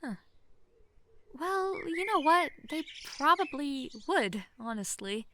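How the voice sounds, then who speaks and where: A young woman playing a sheltered, lonely princess who is playful, clingy and outspoken, in an expressive, chatty manner for an intimate roleplay audio drama. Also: high-pitched, slow pace, full character voice. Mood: bitter, teasing. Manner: expressive, chatty, swinging from giddy to wistful. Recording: faint background noise, hobbyist home microphone.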